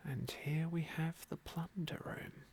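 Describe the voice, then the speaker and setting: A man softly narrating a fantasy game as an ASMR roleplay, unhurried and with formal, genteel phrasing. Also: fairly high pitch, steady tone, breathy edge. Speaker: host of an ASMR game playthrough.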